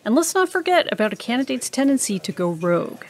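An actress playing a cautious, skeptical government agent in an audio drama, speaking earnestly. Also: female voice, a little high, very animated, fast, a little hiss or hum. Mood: alarmed, protesting. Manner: earnest, objecting. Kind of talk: scripted audio drama acting.

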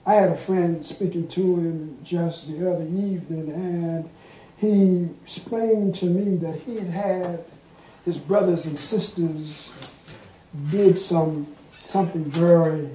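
An older man preaching a sermon from a church pulpit with a deliberate, emphatic cadence. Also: fairly high pitch, steady tone, mellow, slight background noise. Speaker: guest preacher, minister. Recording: echoey church room.

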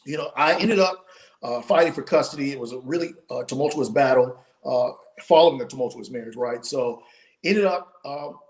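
A man's voice: mid-range voice, normal speed, rough recording. Man telling his story on a video call. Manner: animated, storytelling with many restarts. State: losing his voice. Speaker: podcast guest, author. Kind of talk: casual interview storytelling. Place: home room, indoors.

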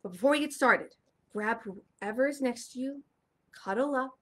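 Animated, slightly husky young woman. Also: a little high. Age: young adult. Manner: expressive, enunciating for children. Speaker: children's storytime host. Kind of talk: animated read-aloud for young children. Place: small furnished room indoors.

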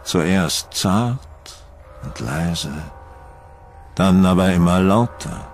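A man narrating a scripted horror audio drama in a suspenseful, deliberate storytelling manner. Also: low-pitched, faint music behind.

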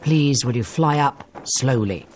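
A strict British flying teacher, voiced by an actor, brisk and commanding. The delivery is crisp and dry game dialogue with faint noise behind. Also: medium pitch, lively intonation, a little mellow, natural voice. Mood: brisk instruction. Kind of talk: video game cutscene acting.

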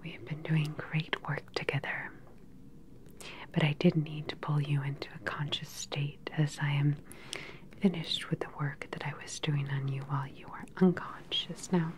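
A woman playing a calm, sinister cyborg scientist in an ASMR roleplay, speaking close to the mic in a slow, deliberate, soothing and clinical way. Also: sits low, lightly acted. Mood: calm, controlling. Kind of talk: ASMR soft-spoken whispered roleplay. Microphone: close ASMR microphone.

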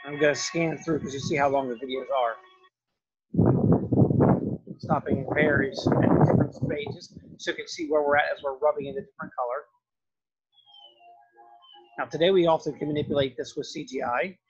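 Husky higher-voiced man giving a lecture. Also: fairly even intonation, normal speed, long pauses, soft background music. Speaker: art history lecturer. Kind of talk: informal lecture narration over slides and clips. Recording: dull, lo-fi lecture recording.

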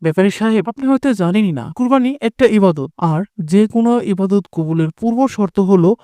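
A man's voice: sits high, fairly quick, warm tone, dry recording, studio quality, lightly acted. A man playing a righteous village imam in a cartoon, preaching and admonishing. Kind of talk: cartoon voice acting.

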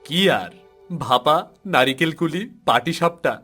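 A man's voice: a little high, a little slow, sharp tone, husky, exaggerated voice. A man voicing a food-loving son-in-law in a cartoon, playful and insistent. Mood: teasing, insistent. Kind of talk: cartoon voice acting. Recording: faint music behind the voice.

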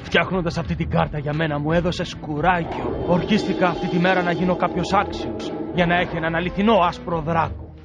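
A man's voice: on the high side, steady tone, over loud music, natural voice. A man voicing a proud, earnest young man confiding solemnly in an anime fan dub, on dull audio. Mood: solemn, heartfelt.

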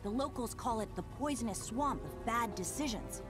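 A woman voicing a sensible, brisk female adventurer guide in a video game, matter-of-fact in manner. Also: fairly high pitch, expressive, over music, close to an everyday voice. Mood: cautious.

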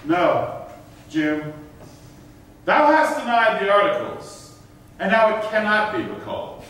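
A man's voice: high-pitched, very animated, slow, fairly bright tone, full character voice. A man playing a stern, sanctimonious governor in a staged reading of old verse, declaiming pompously. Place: theatre stage in a hall. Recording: echoey hall, distant mic, faint noise.

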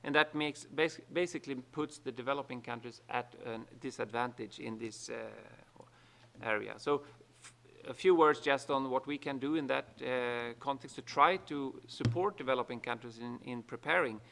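A man on a conference panel speaks into a table microphone in a large meeting room. His voice is gravelly and pitched in the middle. He talks haltingly, with many pauses and fillers. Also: fairly bright tone. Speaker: panelist, international organization.

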